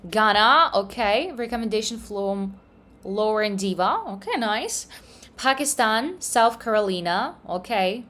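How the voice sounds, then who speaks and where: A woman's voice: mid-range voice, a bit bright. Woman, livestream host, casual chat, cheerful, reads comments aloud, slightly non-native English.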